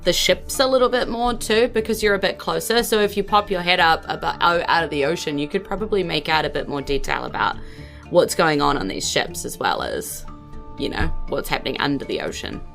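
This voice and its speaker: Low-voiced New woman narrating a game. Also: lively intonation, a little fast, gravelly, a bit bright, soft background music. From New Zealand. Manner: conversational, informal, descriptive. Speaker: game master and podcast host. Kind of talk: improvised tabletop game narration.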